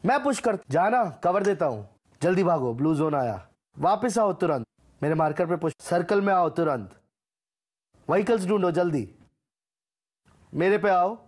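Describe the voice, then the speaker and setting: A man with an Indian accent and a husky voice, performing short game callouts and catchphrases. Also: sits high, lively intonation, normal speed, pauses often, a little mellow. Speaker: game voice-pack voice.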